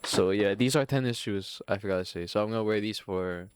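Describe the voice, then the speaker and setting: A man's voice: on the low side, brisk, smooth. A young man from Singapore with a Filipino accent, rambling casually and swearing freely as the host of a solo podcast. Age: young adult. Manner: profane, rambling, self-mocking.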